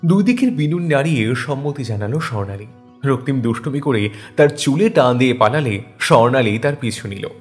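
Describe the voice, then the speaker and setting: A man narrating a scripted love story in a dramatic, wistful, expressive manner. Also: medium pitch, a little fast. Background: soft instrumental music.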